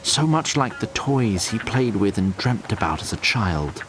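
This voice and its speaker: A man's voice: on the low side, very animated, unhurried, noisy background. A man narrating a scripted audio drama in a wry, formal storybook manner, addressing the listener directly.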